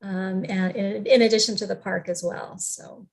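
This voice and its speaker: Lady doing casual event announcements, friendly, medium pitch, pauses a lot. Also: steady tone, vocal fry. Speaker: arts venue representative.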